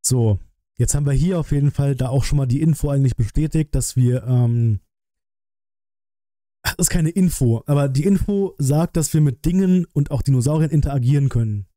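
Man in his thirties, sharp forceful bright tone, dry close mic, colloquial streamer thinking aloud. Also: mid-range voice, normal speed, no echo. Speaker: streamer reacting to a game trailer. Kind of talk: casual live-stream commentary.

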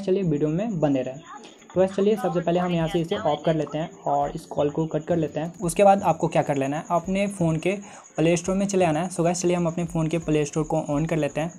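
A man's voice: on the high side, mellow. A man in his twenties with an Indian accent, hosting a step-by-step tech tutorial and addressing viewers directly on a lapel mic. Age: 20s.

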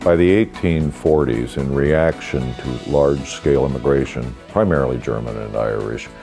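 A man's voice: very deep voice, gravelly. An American man in his sixties giving explanatory commentary in a documentary interview. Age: 60s. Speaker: history commentator. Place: quiet furnished room indoors. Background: soft guitar music.

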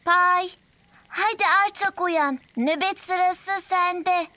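A woman's voice: very high voice, extremely slow, bright, forceful tone, heavily acted. A woman voicing a bossy, sensible little girl adventurer in a children's cartoon, mildly scolding. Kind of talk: children's cartoon dub acting. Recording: music bed under the voice.

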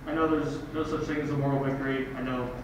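A man working as a reporter, asking questions at a press conference in a quick, flat tone. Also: mid-range voice, distant mic. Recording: roomy, off-mic, with room noise.

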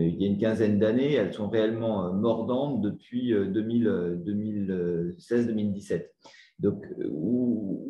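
A man speaking as a water agency official on a panel, explaining in a halting way with repeated words. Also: fairly low pitch, dark tone. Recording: echoey room sound.

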